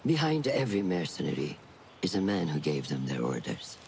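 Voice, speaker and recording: Gravelly, sardonic assassin, swooping intonation. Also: male voice, extremely low pitch, wildly swooping pitch, a little fast, a little mellow, a little hiss or hum, full character voice, low-quality recording. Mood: sceptical, scornful. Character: sceptical, scornful, gravelly male senior assassin. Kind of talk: video game cutscene acting.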